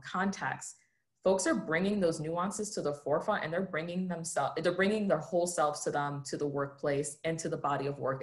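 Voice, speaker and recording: A woman with a low, grounded voice speaks on a video-call panel. She is earnest and reflective, gestures with her hands as she talks, and keeps checking in with her listeners. The call audio is plain and close. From United States. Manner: earnest, reflective, often tags "right?".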